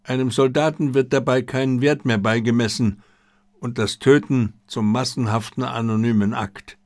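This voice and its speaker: A man voicing an old, proud, disciplined Japanese army general who speaks in a formal, measured way. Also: medium pitch, fairly even intonation, darkish tone, no room sound, studio quality, close to an everyday voice. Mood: stern, resolute.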